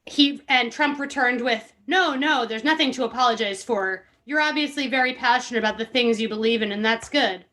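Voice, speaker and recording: A woman's voice: fairly high pitch, a little fast, hardly any pauses, pushed and bright. A woman in casual podcast conversation, reading and retelling a book dramatically.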